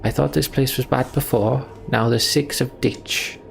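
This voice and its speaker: A brash fantasy game mercenary, acted out by a man, teasing and jeering. The voice is pushed higher and louder, with some gravel in it. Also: steady tone, measured pace, a little hiss or hum.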